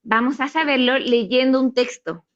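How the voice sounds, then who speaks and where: A woman's voice: sits high, a little slow. A woman teaching young children in a classroom, didactically asking guiding questions and repeating answers. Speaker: primary school teacher. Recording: dull lo-fi classroom video playback.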